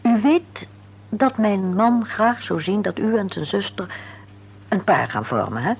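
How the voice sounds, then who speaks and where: An actress playing a composed, tactful middle-aged wife in a radio play, speaking formally and deliberately with polite insistence. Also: female voice, medium pitch, animated, creaky, breathy edge, exaggerated voice. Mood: firm, confiding. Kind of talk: Dutch radio play acting. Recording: dull, hissy old radio recording with hum.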